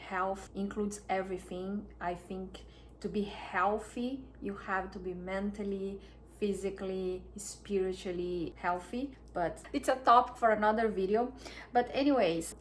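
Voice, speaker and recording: A woman from Brazil with a Brazilian Portuguese accent, chatting to camera as a wellness vlogger, soft and unhurried, with frequent restarts. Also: medium pitch, slight background noise. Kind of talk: casual talk to camera.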